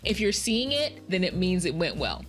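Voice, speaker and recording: A woman with a low voice talks casually into a close podcast mic, hosting her own show. She sounds tired after work but stays chatty and self-deprecating, laughing often. Faint music plays behind her. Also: very animated, moderate pace, fairly bright tone. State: tired in the evening. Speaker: podcast host. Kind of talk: casual solo podcast chat.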